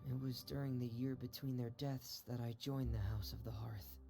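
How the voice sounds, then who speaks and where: An actor playing a shy, earnest young man in a video game, speaking softly and solemnly. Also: mid-range voice, steady tone, creaky, close to an everyday voice. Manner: soft, measured, solemn storytelling. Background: game soundtrack music.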